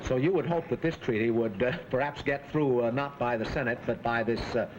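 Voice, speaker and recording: Guy hosting a 1940s panel show, kinda halting, slight hiss on the old recording. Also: mid-range voice, muffled recording. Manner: halting, hesitant phrasing. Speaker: host and moderator of a panel discussion. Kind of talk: broadcast panel interview, semi-formal. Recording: old 1940s broadcast, faint background noise.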